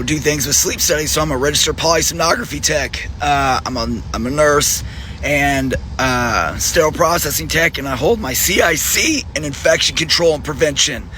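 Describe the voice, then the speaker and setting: Hoarse, furious man. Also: fairly high pitch, pushed and bright, a little hiss or hum. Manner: angry, profane, frequent throat clearing. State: hoarse, losing his voice. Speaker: nurse. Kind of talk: direct-to-camera video appeal.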